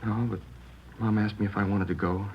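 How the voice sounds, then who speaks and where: A dull, faintly noisy vintage radio recording carries a man acting a shaken American schoolboy. He speaks low and haltingly, upset and plain-spoken, in crime drama style. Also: level tone, lightly acted. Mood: subdued, halting. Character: shaken schoolboy who found his mother killed.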